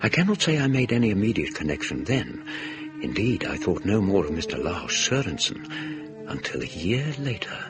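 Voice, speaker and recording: A man's voice: low voice, big pitch swings, moderate pace, music in the background. A male actor playing a courteous, fussy English scholar in a radio drama, donnish and hesitant, with an English accent. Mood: reflective. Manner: hesitant, donnish, fussily polite. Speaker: narrator and lead character. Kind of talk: radio drama acting and narration.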